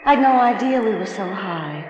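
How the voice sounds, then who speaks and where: Earnest young wife in noisy old radio drama, actress. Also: female voice, medium pitch, lively intonation, normal speed, close to an everyday voice. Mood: urgent, excited. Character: troubled young wife, earnest, turning desperate. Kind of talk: 1950s radio drama acting. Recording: noisy, echoey, dull old radio recording.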